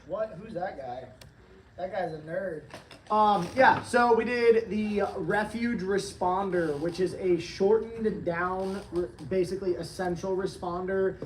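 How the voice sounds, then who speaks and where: A hoarse man hosting a casual livestream, upbeat and rambling as he reads chat comments aloud. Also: high-pitched, unhurried, slight background noise. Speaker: livestream host, training company staff. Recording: roomy, distant from the mic.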